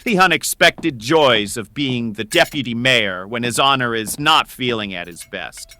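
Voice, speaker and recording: A pompous, self-assured town official, acted by a man with a bright, forceful voice. His formal delivery is animated, swinging widely in pitch, as in a historical audiobook. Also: a little slow. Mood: smugly reassuring. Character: self-assured, pompous medieval deputy mayor.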